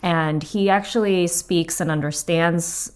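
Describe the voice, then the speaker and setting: A young woman with a notably low speaking voice talks casually as a guest. She hedges and restarts often, filling gaps with "like" and "um". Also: fairly even intonation, slow pace, clear, resonant tone. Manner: hesitant, many "like" and "um" fillers. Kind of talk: casual chat.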